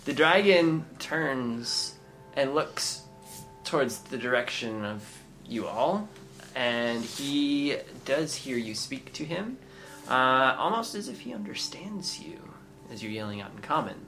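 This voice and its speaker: A man narrating a tabletop game as game master, often pausing on fillers as he describes the scene. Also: mid-range voice, animated, bright, forceful tone, faint music behind, poor audio. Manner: halting narration with many fillers.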